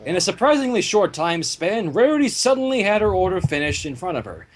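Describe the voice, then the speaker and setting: A man narrates a story with a high, expressive voice that moves around a lot. He slips into casual asides, and the home recording has faint background noise. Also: fairly quick. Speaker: narrator of a fan fiction reading.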